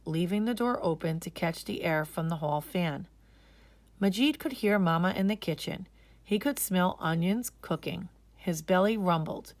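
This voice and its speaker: A woman narrating a children's story aloud in an expressive, measured way. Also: lowish voice, no room sound.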